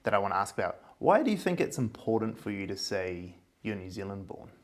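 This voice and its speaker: Low-voiced male podcast host. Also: big pitch swings, brisk. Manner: prompting with short yeahs and probing questions. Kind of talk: casual interview chat.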